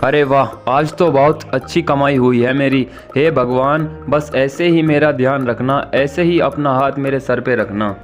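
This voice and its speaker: The kindly farmer bird of a children's cartoon played by a man, earnestly thanking his little sister over soft background music. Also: mid-range voice, steady tone, unhurried, hardly any pauses, natural voice. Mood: happy, thankful. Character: good-natured, grateful male bird, big brother farmer. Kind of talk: animated children's story dialogue.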